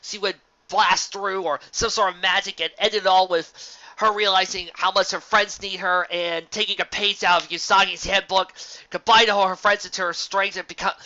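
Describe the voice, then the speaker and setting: Bright-voiced amateur fiction reader, lo-fi recording. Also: medium pitch, no room sound. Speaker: fan fiction reader and reviewer. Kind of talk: dramatic story reading and informal commentary. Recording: dull lo-fi sound.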